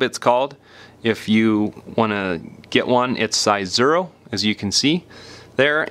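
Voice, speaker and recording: A man's voice: fairly low pitch, a bit bright. A man hosting a do-it-yourself tutorial, explaining each step in a plain, informal way as he demonstrates. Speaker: repair tutorial host. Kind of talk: casual step-by-step hands-on demonstration.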